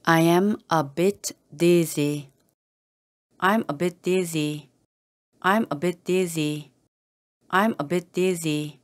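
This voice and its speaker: Slow, deliberate woman reading practice lines. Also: on the low side. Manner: calm, even and carefully spoken, each sentence said the same way again and again. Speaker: English teacher reading model sentences. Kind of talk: slow, deliberate repeated phrases for learners to copy.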